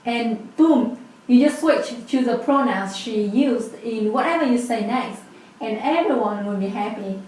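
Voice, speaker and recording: A woman's voice: a little high, measured pace, a little mellow, very echoey, distant mic, a little hiss or hum, poor audio. A woman in her twenties with a Vietnamese accent, patiently explaining a language lesson step by step. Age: 20s. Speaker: language teacher.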